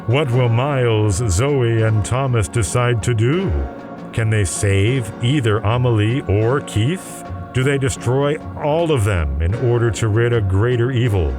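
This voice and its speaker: A man's voice: medium pitch, measured pace. A man narrating a radio serial in a theatrical, ominous, suspense-building style. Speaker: narrator and announcer. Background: music.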